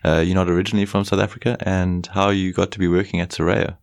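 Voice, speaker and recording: A man's voice: low voice, flat delivery, fast talker, creaky, no room sound, studio quality. A man hosting a science podcast, introducing and questioning a guest in a polite manner. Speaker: podcast host. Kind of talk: podcast interview host.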